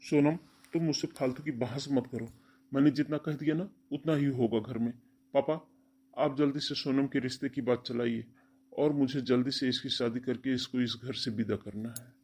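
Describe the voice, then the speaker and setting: A man's voice: mid-range voice, fairly even intonation, normal speed, a little mellow, breathy edge. A man voicing a stern, domineering elder brother, firm and insistent, in a cartoon family melodrama.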